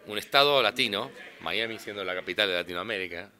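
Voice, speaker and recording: A man's voice: lowish voice, fast, pushed and bright. A man from Brazil, a native Portuguese speaker, talking casually in an interview about his work as an interpreter, rambling and restarting often.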